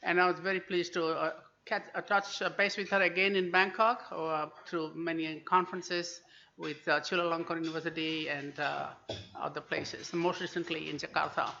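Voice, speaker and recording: A man's voice: a little high, little pitch movement, moderate pace, creaky, pushed and bright, a little hiss or hum. A man, an international relations professor giving a book talk, telling anecdotes with frequent "uh" fillers. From India. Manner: hesitant with many "uh" fillers, anecdotal. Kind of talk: lecture-style book talk. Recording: dull lo-fi recording.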